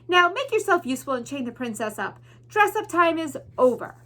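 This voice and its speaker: Woman voicing a haughty, cruel villain rat, bright and sharp. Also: high-pitched, sing-song, a little fast, voice pushed up. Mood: scornful, menacing. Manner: pompous, sneering, commanding.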